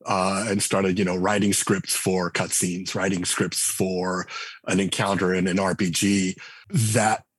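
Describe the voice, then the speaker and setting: A middle-aged man, a game writer and designer, talking casually in an interview and telling relaxed stories with frequent restarts. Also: low-pitched, measured pace, breathy edge, no room sound. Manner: relaxed storyteller, many restarts and fillers.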